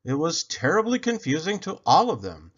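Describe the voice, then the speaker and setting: A man's voice: a little high, wildly swooping pitch, moderate pace, muffled recording. A man reading a children's story aloud expressively, leaning dramatically on key words. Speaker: storybook reader.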